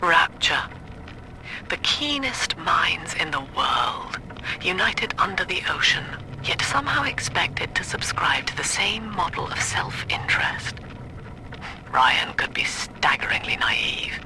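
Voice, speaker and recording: An actress playing a cerebral utopian cult leader, delivering measured, lofty philosophy in a clinical, solemn way for a game audio log. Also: female voice, lowish voice, sing-song, in a whisper. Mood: cold, contemplative. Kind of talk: philosophical audio-diary monologue. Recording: noisy, hissy vintage audio log.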